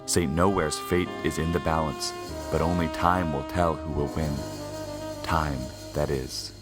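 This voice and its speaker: A man's voice: very deep voice, fairly even intonation, a little slow, loud music behind the voice. A man playing a mysterious, grandiose narrator of an old-time radio serial, solemn and dramatic. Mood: ominous. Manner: ominous, grandiose.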